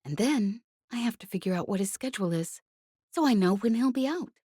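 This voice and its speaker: A woman reader plays a brash young woman pilot from a space adventure audiobook. She is cocky and sassy, clipping her colloquial phrases, in a mellow voice recorded very dry. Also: on the high side, expressive, fairly quick, breathy edge, no echo, pitched above the performer's own voice. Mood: cocky, breezy. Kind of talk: audiobook character voice.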